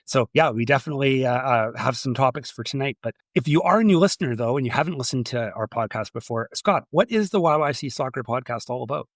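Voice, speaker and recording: A man's voice: mid-range voice, expressive, fast, hardly any pauses, no room sound, studio quality. A man hosting a casual sports podcast, nasal from a slight cold, talking in a hesitant way with many restarts. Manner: hesitant, restarts often with "uh" and "you know". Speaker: podcast host.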